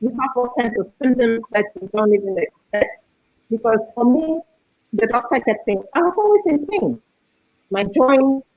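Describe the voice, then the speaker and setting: A woman's voice: medium pitch, dry recording, poor audio. Woman, earnest and emphatic, dry sound, video call. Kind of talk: online talk show chat.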